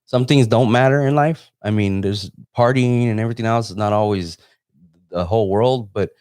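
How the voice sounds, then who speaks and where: A man's voice: fairly low pitch, moderate pace, a little mellow, clean tone, no echo, studio quality. A man hosting a casual live interview, talking in an informal, rambling way. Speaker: interviewer and host; photographer.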